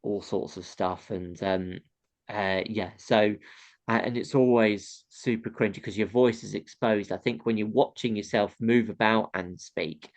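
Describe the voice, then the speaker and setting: A man's voice: lowish voice, fairly even intonation, unhurried. A man with a nasal voice, a comedian chatting casually as an interview guest, laughing often and acting out how audiences react.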